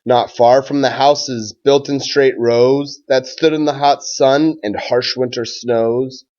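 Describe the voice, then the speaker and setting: A man who is a schoolteacher doing a read-aloud for children and talking to pupils in a measured, simple way. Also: medium pitch, fairly even intonation, darkish tone, highs cut off.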